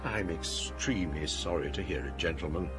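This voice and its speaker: A man playing an older Edwardian college provost in a radio drama, with an English accent and formal, courteous, measured speech. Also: low voice, over music, natural voice. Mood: courteous, dry. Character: older scholarly Edwardian college provost telling ghost stories.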